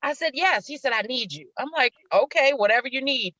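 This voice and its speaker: A woman's voice: high voice, expressive, a little fast, bright, forceful tone, no echo, lo-fi sound. A middle-aged woman telling stories casually as an interview guest, effusive and repeating words for emphasis. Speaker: stage actress, interview guest.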